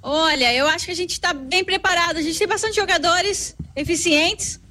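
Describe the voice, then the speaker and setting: A woman's voice: very high voice, moderate pace, bright, forceful tone, a little hiss or hum. A woman from Brazil with a southern gaúcho accent, answering a reporter's questions outdoors in a cheerful, confident way. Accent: Brazilian Portuguese, southern (gaúcho). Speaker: fan interviewed. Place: outdoors outside a stadium.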